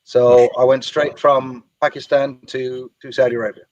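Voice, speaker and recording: A man from Ireland and Birmingham telling his own story as an interview guest, measured and reflective, with some Arabic phrases. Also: mid-range voice, little pitch movement, a little mellow, dry recording. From Ireland and Birmingham, England. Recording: dry, slightly dull lo-fi audio.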